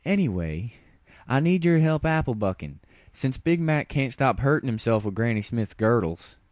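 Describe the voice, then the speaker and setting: Man doing a flustered country farm mare, high-pitched. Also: big pitch swings, normal speed, a little mellow, dry recording, clean studio sound, close to an everyday voice. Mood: exasperated. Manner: folksy, exasperated, stammering.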